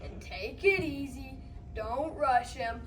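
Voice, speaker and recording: A young female performer playing a steady, practical male farmer giving orders in a storybook stage reading. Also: high voice, slow pace. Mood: calm, commanding. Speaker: student reader's theatre performer. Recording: echoey room, noisy, distant mic.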